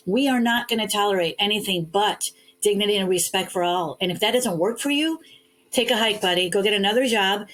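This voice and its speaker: A woman's voice: medium pitch, low-quality recording. Emphatic woman giving interview answers. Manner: emphatic, direct and persuasive. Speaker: podcast guest, attorney and speaker.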